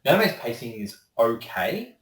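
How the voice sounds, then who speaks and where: A man talks through his opinions in a casual, rambling interview as a voice actor. His voice sits at an ordinary pitch, heard from a distance in a roomy, echoing space. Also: very animated, normal speed, fairly bright tone. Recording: roomy echo, distant microphone.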